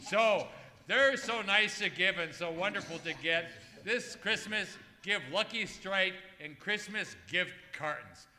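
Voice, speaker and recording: High-pitched male old-time radio announcer. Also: lively intonation, measured pace. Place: museum performance space, live before an audience. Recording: echoey hall with loud music.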